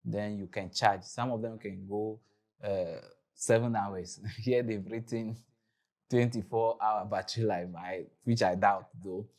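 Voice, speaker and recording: Male presenter, Ghanaian accent, lowish voice, informal explainer delivery for gear tutorials. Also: steady tone, a little slow, a little mellow. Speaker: presenter of a video production tutorial. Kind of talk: informal explanatory product overview.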